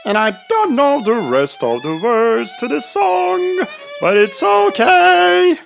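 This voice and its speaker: A woman's voice: a little high, sing-song, slow pace, gravelly, soft background music, heavily acted. A woman voicing a lazy, vain, carefree male grasshopper in a children's cartoon, with a breezy, dismissive, singsong manner. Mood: carefree singing.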